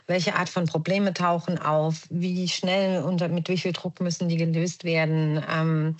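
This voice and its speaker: A woman's voice: lowish voice, flat delivery, measured pace, highs cut off. A woman with a German accent, a podcast guest speaking reflectively with many restarts and fillers. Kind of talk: reflective conversational podcast talk.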